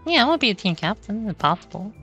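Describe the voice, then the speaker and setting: A woman doing casual gameplay commentary, rambling and halting as she repeats words. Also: medium pitch, sing-song, pushed and bright, soft background music. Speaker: video game streamer.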